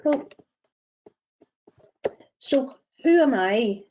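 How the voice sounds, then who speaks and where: A woman with a Northern Irish accent presenting a webinar as a greenhouse gas verifier, speaking in a measured way with frequent ums and uhs. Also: high voice, lively intonation, dark tone, muffled recording, slight background noise. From Northern Ireland.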